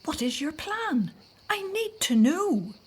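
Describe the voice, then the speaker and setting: Scared mom in a bible audio drama, higher voice, really expressive, hushed and unsure. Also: female voice, slow, full character voice. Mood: urgent, hushed. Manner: hesitant, anxious, often hushed. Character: frightened Hebrew mother, wife pleading with husband at night.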